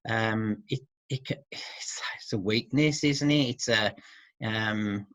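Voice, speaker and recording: A middle-aged man speaking as an expert guest in an informal interview, hesitant and halting, often seeking agreement with tag questions. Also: lowish voice, a bit bright, no echo. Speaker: expert guest who works with men. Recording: dull, muffled lo-fi audio.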